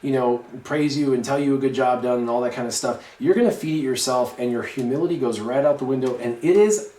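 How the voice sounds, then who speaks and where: A man on a talk show panel, chatting casually and haltingly, repeating phrases as he works out his point. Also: medium pitch, mellow. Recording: echoey, roomy sound.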